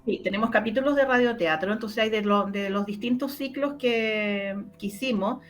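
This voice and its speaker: A woman with a Chilean Spanish accent answers in a conversational interview, often halting, repeating words and restarting. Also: mid-range voice, faint music behind. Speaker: interviewed radio drama producer.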